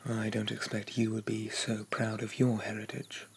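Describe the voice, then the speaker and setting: A man playing a haughty, possessive demon prince in an ASMR roleplay, speaking formally and softly, often dropping into a whisper. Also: lowish voice, flat delivery, unhurried, creaky, a little hiss or hum. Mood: prideful, veiled threat. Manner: pompous, formal, softly condescending.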